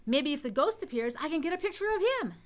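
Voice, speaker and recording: A woman's voice: high-pitched, big pitch swings, fast talker. An actress playing an eager, breezy, slangy girl newspaper photographer in a radio drama. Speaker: radio play actor. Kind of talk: radio drama acting in vintage newsroom style.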